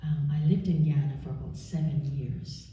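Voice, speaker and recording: A woman in her forties from Canada giving an earnest, measured personal testimony at a church service, pausing often. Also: sits low, mellow, a little hiss or hum. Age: 40s. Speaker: church speaker. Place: church sanctuary. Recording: roomy church, distant mic, dull sound.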